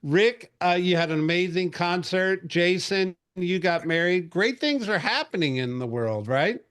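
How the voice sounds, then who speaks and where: Chatty man in his forties, slightly high voice. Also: lively intonation, unhurried, fairly bright tone, smooth. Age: 40s. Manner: chatty, rambling, frequent fillers and restarts. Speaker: host of a real estate industry call. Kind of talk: casual market update briefing.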